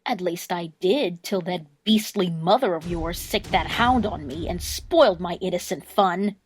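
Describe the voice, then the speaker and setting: Woman as a sly, slow-talking trickster fox. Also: lowish voice, a little hiss or hum, lightly acted. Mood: smug, teasing. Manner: taunting, theatrical, drawling delivery. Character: sly female magical fox trickster, playfully cruel. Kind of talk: fan audio drama acting.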